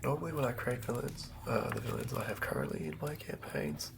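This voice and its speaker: A man doing improvised ASMR in a breathy whisper, unhurriedly murmuring to himself as he works. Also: mid-range voice, fairly quick, background noise. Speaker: ASMR host.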